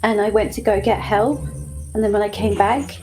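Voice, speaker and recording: Casting: female tabletop player, mid-pitched voice, casual in-character delivery, clear music behind. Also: moderate pace, low-quality recording.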